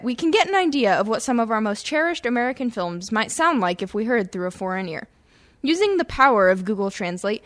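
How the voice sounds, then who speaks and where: A woman presents a scripted radio comedy as its host. Her voice is fairly high. She introduces each sketch as a presenter would.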